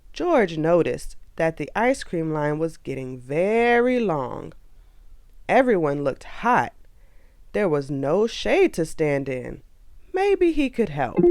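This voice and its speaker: A woman narrating a children's storybook aloud in an expressive, animated way. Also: on the low side.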